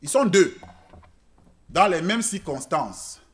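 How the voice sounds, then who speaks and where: A man preaching a church sermon in French, which is not his native language, swinging between loud emphatic peaks and quiet asides. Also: high voice, big pitch swings, slow pace. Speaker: pastor. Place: church service.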